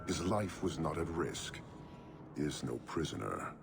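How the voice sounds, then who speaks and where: An actor playing a towering, stern, disdainful inhuman warrior commander in a video game, speaking in grave, formal statements. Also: male voice, rumbling low, expressive, creaky, background noise, heavily acted. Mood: stern, dismissive. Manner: deliberate, grave, declaring in clipped formal statements. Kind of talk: video game cutscene acting.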